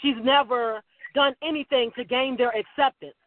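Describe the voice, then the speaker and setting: Man, 30s, very high pitch, blunt casual host with quick asides; dry, tinny phone-quality audio. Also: steady tone, dry recording. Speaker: podcast host. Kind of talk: casual podcast chat.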